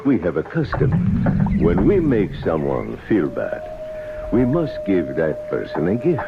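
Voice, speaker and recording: A man playing a grieving, vengeful keeper of temple gods in a 1970s radio drama, speaking softly and measured until he turns menacing. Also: low voice, wildly swooping pitch, creaky, highs cut off, noisy background, heavily acted. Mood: coaxing. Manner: soft, measured, then menacing. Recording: old radio drama with music behind.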